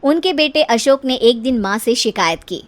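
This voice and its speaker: A woman narrator reading a scripted comic story for an animated video. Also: a little high, normal speed.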